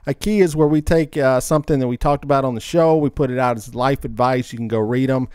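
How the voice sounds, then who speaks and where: A man's voice: mid-range voice, quick pace, darkish tone, clean tone, no echo. Casual man hosting a podcast, clean close sound. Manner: informal, rambling, says man a lot. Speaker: podcast host. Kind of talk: casual chat, show announcements.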